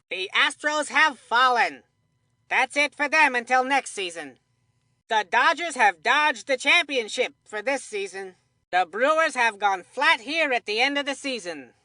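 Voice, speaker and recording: Kids' baseball game commentator: upbeat cartoon announcer, bright and forceful, punchy delivery, very dry studio sound. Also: female voice, sits high, dry recording, full character voice. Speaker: sports commentator. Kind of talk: scripted play-by-play sports announcing.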